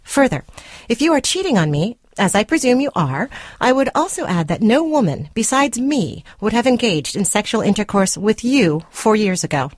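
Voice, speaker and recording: A woman's voice: fairly high pitch, very animated, normal speed, dry recording. A woman playing a controlling girlfriend in a radio story, speaking with precise, coldly formal, condescending deliberation. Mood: officious, controlled. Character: controlling, coldly formal jilted girlfriend dictating a rebuttal letter. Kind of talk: dramatic short story reading for radio. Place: dry radio studio.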